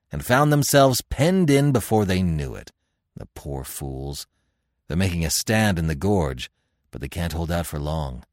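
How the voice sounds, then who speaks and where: A man reading a rough barbarian chief's lines, dropping his voice low and gruff. The blunt delivery sits in a dry, close sound with no room around it. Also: a little mellow, no room sound. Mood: grim.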